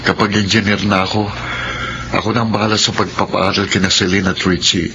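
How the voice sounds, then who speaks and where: An actor playing a dutiful, responsible young adult eldest son in a radio drama, respectful and reassuring. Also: male voice, deep voice, little pitch movement, a little fast. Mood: concerned, resolute. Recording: dull, noisy radio drama audio.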